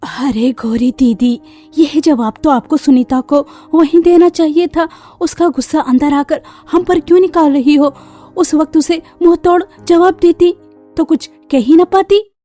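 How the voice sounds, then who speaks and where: Woman as mellow, practical young daughter-in-law. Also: high-pitched, measured pace, soft background music. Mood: chiding. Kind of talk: Hindi cartoon story character voice.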